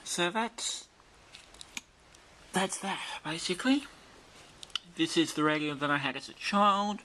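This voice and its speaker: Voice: medium pitch, lively intonation, slow, sharp tone, low-quality recording. A person chatting casually while demonstrating an old radio, with static noise behind. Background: shortwave radio static.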